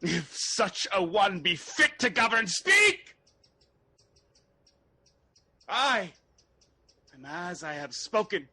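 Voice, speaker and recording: Husky, slow, impassioned young prince, acted by a man. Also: high voice, big pitch swings, sharp tone, dry recording, heavily acted. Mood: anguished, rising. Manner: halting, theatrical, impassioned delivery. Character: young exiled prince feigning depravity, anguished and fervent. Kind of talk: Shakespearean radio drama acting.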